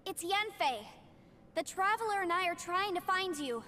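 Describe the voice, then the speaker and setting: A woman's voice: extremely high pitch, normal speed, sharp tone. An actress playing a caring, level-headed young woman in a video game, articulate and earnest, with game music behind her. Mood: urgent, concerned.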